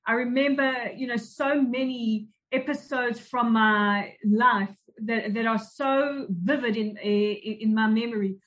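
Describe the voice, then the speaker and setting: A woman's voice: fairly high pitch, fairly even intonation, a bit bright, rough recording. South African woman recalling her youth. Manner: measured, reflective, with frequent restarts. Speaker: guest. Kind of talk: personal recollection in an interview.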